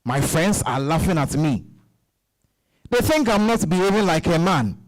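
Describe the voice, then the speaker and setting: A man's voice: on the high side, very animated, unhurried, poor audio. Man playing aggrieved husband, swooping voice. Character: frustrated, aggrieved husband complaining about his career-minded wife. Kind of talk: dramatized stage-style novel reading.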